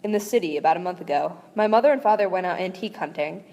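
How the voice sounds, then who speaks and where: A woman's voice: lowish voice, fast, warm tone, highs cut off, natural voice. Earnest, curious young boy wizard read by a woman in an unpolished read-aloud, reverb trailing. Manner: plain reading voice, barely changed.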